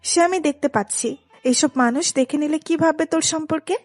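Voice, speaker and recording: A woman's voice: high voice, brisk, darkish tone, no room sound, soft background music, lightly acted. A woman playing a protective, exasperated older sister in a dramatised romantic audio story, with a stern, admonishing manner. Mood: stern scolding.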